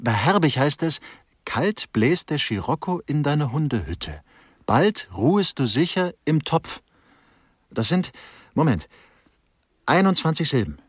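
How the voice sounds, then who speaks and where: An actor playing a droll, cynical investigator in a radio play, theatrical when reciting and offhand in his asides, with a husky voice. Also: a little high, big pitch swings, unhurried, long pauses, no room sound, clean studio sound, heavily acted. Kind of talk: German radio play dialogue.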